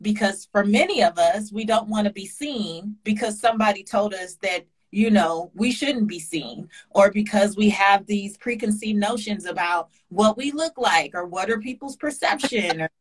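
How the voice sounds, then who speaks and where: A woman's voice: mid-range voice, normal speed, hardly any pauses, slightly rough, rough recording. A woman guest on a video-call interview, speaking in a passionate, preachy way and stacking repeated phrases for emphasis. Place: home kitchen indoors. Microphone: webcam on a video call.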